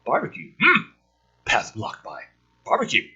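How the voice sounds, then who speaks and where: Animated, raspy man with a forceful edge. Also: on the high side, unhurried, highs cut off. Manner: sweary, sardonic asides; theatrical, animated delivery. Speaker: video host reading a film script aloud. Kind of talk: dramatic script reading with casual commentary.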